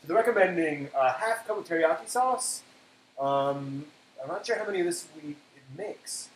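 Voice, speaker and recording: A man hosting a casual cooking live stream, thinking aloud in a halting way and often trailing off. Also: a little high, expressive. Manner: halting, self-talking, trailing off. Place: indoor kitchen. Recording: echoey room, background noise.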